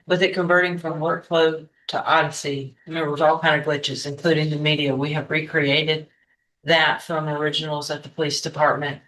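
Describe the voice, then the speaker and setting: A male attorney in a courtroom with a bright, fairly high voice. He talks in a flat, monotone way, rambling and hedging as he explains. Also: a little fast, rough recording. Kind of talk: courtroom statement.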